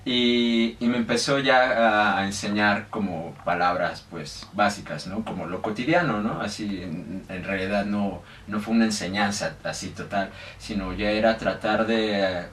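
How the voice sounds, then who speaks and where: Mexican man, low voice, hesitant, lots of fillers, faint background noise. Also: a little slow, hardly any pauses, a bit bright. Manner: hesitant, many fillers and restarts. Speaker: guest. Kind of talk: casual interview talk. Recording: echoey room, mic at a distance, faint noise.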